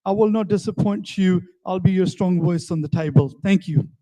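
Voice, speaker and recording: A man's voice: high voice, moderate pace, dark tone, a little hiss or hum, poor audio. A man giving an earnest campaign closing statement as a council candidate, pleading for votes.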